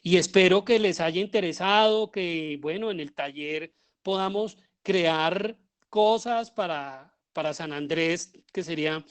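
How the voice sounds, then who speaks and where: A middle-aged Colombian man speaks as a guest lecturer. His delivery is informal and reflective, with pauses as he looks for the right word. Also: sits high, clean tone. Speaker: guest lecturer, theatre and radio drama director.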